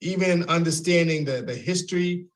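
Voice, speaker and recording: A man hosting a radio interview, halting and repeating words as he rephrases his questions. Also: high voice. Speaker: radio host.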